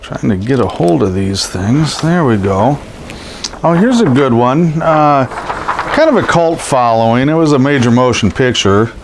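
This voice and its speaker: A man's voice: mid-range voice, expressive, a little slow. Stuffed-up middle-aged guy with a scratchy cold voice, rambling with lots of uhs, faint hiss behind. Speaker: collectibles seller. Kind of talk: casual show-and-tell narration. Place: indoors over boxes of posters.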